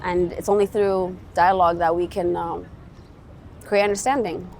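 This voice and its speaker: A woman's voice: on the low side, little pitch movement, normal speed, a little mellow, a little hiss or hum. A woman from Somalia giving earnest answers as an interview guest about her work in advocacy. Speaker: interview guest, peace organisation executive.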